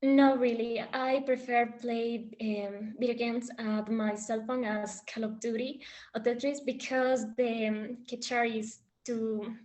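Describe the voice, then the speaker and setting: A woman's voice: fairly high pitch, fairly even intonation, unhurried, a little mellow. A woman from Ecuador, answering practice exam questions hesitantly with frequent fillers. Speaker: exam candidate.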